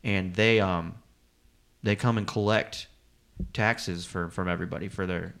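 A man's voice: low voice, unhurried, clear, resonant tone. A man chatting casually as a comedian podcast host, rambling and swearing freely as he explains things. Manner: profane, rambling, animated explaining with quoted asides.

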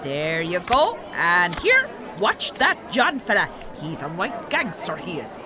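A woman's voice: lowish voice, huge pitch swings, slow, full character voice. A woman playing a brassy, flirty, teasing bingo regular with a Dublin accent in an audio drama. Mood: teasing, wry. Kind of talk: radio drama acting. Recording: busy crowd behind.

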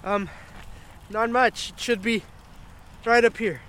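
A man's voice: high voice, steady tone, slow, a bit bright, slight background noise. A man playing an eager, evasive boy in an audio drama. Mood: sheepish. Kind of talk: naturalistic audio drama dialogue.

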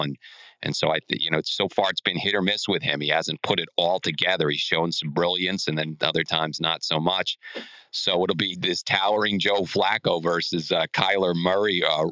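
Man, very deep voice, bright forceful edge, dry sound, informal sports podcast host. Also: no room sound, rough recording. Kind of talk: casual sports chat.

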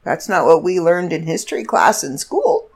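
A woman in her fifties chats alone as a podcast host, her voice stuffy and congested. She rambles and corrects herself as she goes, her low voice swinging up and down in pitch. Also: very animated, moderate pace. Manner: rambling, self-correcting storyteller. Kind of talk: casual solo chat.

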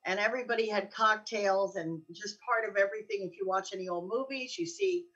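A woman's voice: mid-range voice, animated, brisk, fairly bright tone, breathy edge, far from the mic, lo-fi sound. An older American woman with a chatty, joking manner, telling casual anecdotes in conversation. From Allenhurst, New Jersey, United States. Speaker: co-host.

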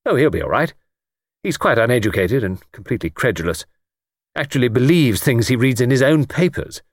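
A man voicing a brisk, confident, chummy male friend in audiobook dialogue, breezy, slangy and emphatic. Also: mid-range voice, animated, no echo, clean studio sound, close to an everyday voice. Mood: brisk, persuasive.

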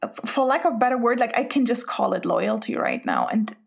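A woman's voice: a little high, wildly swooping pitch, fairly quick, creaky. A woman from Spain, hosting a podcast interview and thinking aloud in a reflective way. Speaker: podcast host.

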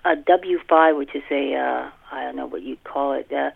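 Gravelly Canadian woman on a phone line. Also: rumbling low, moderate pace, dry recording. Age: 50s. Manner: animated, self-deprecating storytelling. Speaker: fantasy author, podcast guest. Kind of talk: casual interview chat. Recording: tinny telephone-like line.

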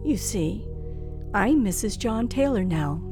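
A woman's voice: medium pitch, expressive, takes it slowly, darkish tone. An actress playing a terrified young woman recounting a night of terror in an old-time radio thriller, with breathless, dramatic phrasing. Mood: shaken relief. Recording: vintage radio recording with music behind.

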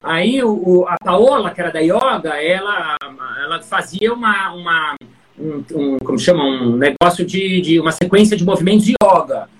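A man's voice: sits high, normal speed, poor audio. Middle-aged guy, speaking coach, chatty stories, lots of ums and restarts. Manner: storytelling, many fillers and restarts, animated examples. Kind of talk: casual interview talk.

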